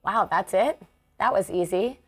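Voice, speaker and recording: A woman's voice: medium pitch, measured pace. A woman with an American accent playing a teacher who reads curious, plain questions in a scripted dialogue.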